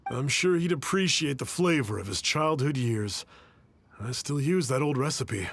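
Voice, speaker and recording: A man's voice: mid-range voice, lively intonation, normal speed, gravelly, a bit bright. An actor playing a mild, plain-spoken young man who cooks, wistful and friendly, in an anime-style game. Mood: helpful. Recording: faint music behind the voice.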